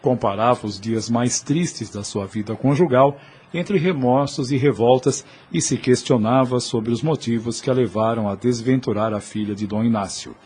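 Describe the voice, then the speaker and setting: Male radio-play narrator: Brazilian, medium pitch, slightly husky, scripted storytelling. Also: moderate pace, a little mellow. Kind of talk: scripted audio drama narration.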